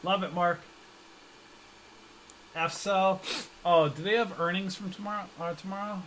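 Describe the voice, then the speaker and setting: A man hosts a live trading stream, chatting loosely with viewers and tossing in jokes and slang as he reads their comments. His voice sits a little high for a man. A faint hum runs under the recording. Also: fairly even intonation, measured pace, a bit bright, poor audio. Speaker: stock trading livestream host. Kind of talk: casual livestream chat reading comments.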